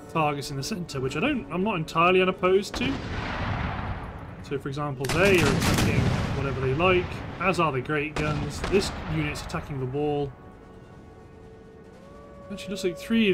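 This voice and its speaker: A man's voice: a little high, moderate pace, music in the background. A British man giving casual let's play commentary on a strategy game, thinking aloud in short remarks. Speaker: gameplay host.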